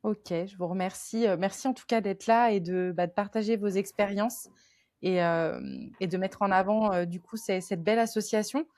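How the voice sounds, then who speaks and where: A woman hosts a podcast in casual chat, pausing often on fillers and restarting her sentences. Her voice sits at an ordinary middle pitch. The recording is dry and close, with no room sound. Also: fairly even intonation, a little mellow, no room sound. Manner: hesitant, many fillers and restarts. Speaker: podcast host.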